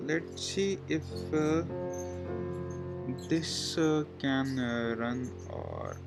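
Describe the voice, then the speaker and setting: Flat, slow man with loud music behind. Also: a little high, vocal fry. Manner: hesitant, halting, thinking aloud. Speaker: coding tutorial presenter. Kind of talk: informal screen-share programming walkthrough, thinking aloud.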